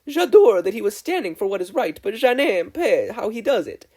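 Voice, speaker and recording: A man's voice: sits high, very animated, normal speed, darkish tone, pitched above the performer's own voice. A man voicing a vain, theatrical teenage French boy in a story reading, with a French accent and French words sprinkled in. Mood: flamboyant.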